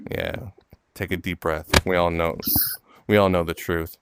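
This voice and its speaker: A man's voice: sits low, little pitch movement, slow pace, noisy background. A man hosting a casual golf game with friends, telling crude jokes and laughing often. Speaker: host and golf player. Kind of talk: casual gaming banter.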